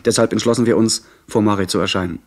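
Actor as earnest young gentleman adventurer. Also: lowish voice, fairly even intonation, fast talker, close to an everyday voice. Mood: measured, narrating. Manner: polite, earnest, articulate. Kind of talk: 1970s German radio drama, dialogue and narration.

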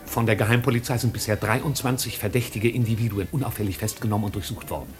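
An actor playing a formal, deferential servant giving long-winded, deadpan reports in a 1950s radio play. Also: male voice, fairly low pitch, quick pace, natural voice. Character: formal, pedantic male servant reporting to his master. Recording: 1950s radio recording, faint music behind.

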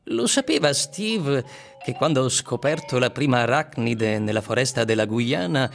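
A learned professor of botany in a radio play. He explains in a measured, literary manner, proud of his knowledge, at a middling pitch. Soft music sits behind the voice. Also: expressive, creaky. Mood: knowing. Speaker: actor. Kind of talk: radio drama, explanatory narration.

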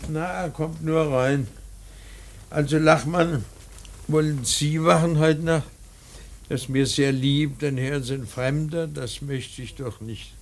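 An actor playing a grave, composed middle-aged father in grief, speaking in a measured, fatherly way in a German radio play. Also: fairly high pitch, steady tone. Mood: kindly, composed.

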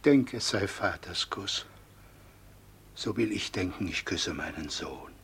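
A man's voice: sits low, expressive, slow pace, gravelly, fairly bright tone, husky, full character voice. An actor playing a frail, grieving, tender old father of noble birth in a classical radio play. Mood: tender sorrow. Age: older.

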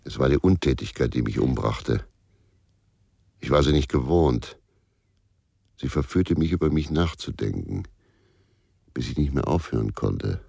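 A brooding middle-aged ex-cop in a radio crime play. The voice sits at rock bottom, full of gravel and husk. He speaks tersely and wearily, guarded and easily irritated. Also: very deep voice, expressive, measured pace, long pauses, warm tone, heavily acted. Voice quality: gravelly, husky. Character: brooding, short-tempered ex-policeman, drinker, middle-aged man. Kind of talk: German radio crime drama, inner narration and dialogue.

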